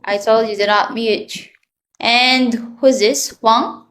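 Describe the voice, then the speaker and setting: A woman's voice: fairly high pitch, fairly even intonation, slow pace, a bit bright. A woman from the Philippines teaching an online English class to children, asking patient prompting questions over a video call.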